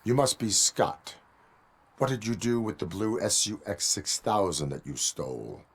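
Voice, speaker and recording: Very deep, stoic cyborg cop. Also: male voice, unhurried, full character voice. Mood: stern, measured. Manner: stilted, formal, deadpan delivery. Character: stoic male cyborg police officer, formal, by-the-book. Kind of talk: video game voice acting.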